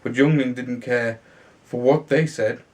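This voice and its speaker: A man reading a children's fairy tale aloud in a halting way, sometimes stumbling and repeating words. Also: mid-range voice, fairly even intonation. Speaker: storybook reader.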